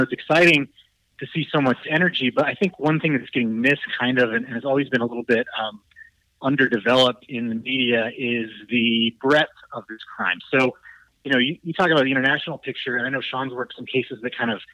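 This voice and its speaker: Bright-voiced man, earnest explainer. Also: mid-range voice, dry recording, poor audio. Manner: earnest, explanatory, uses fillers. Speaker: prosecutor. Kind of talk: radio interview guest.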